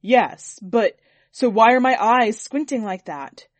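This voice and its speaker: A vain, pompous lord of a storybook mansion, acted out by a woman reader. The delivery is emphatic and indignant, with a quick temper under the grand airs. The sound is close and dry. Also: fairly high pitch, animated, no echo.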